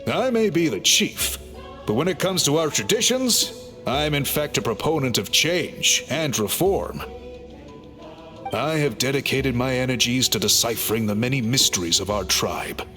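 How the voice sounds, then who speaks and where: Calm formal chief guy, explains things politely, bright lively voice, faint music. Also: mid-range voice, moderate pace. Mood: thoughtful. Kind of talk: game cutscene voice acting.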